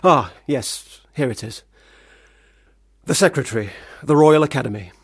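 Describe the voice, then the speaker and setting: An actor playing a troubled, self-doubting young man who drinks, theatrical, swinging between loud protest and muttering in a radio play. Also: mid-range voice, lively intonation, measured pace, background noise. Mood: rambling, anxious.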